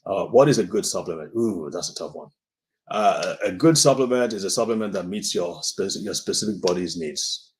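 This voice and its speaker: A man's voice: sits low, lively intonation, normal speed. A man from Nigeria, a doctor, giving an earnest live-stream health talk and answering viewer comments, often repeating words as he explains.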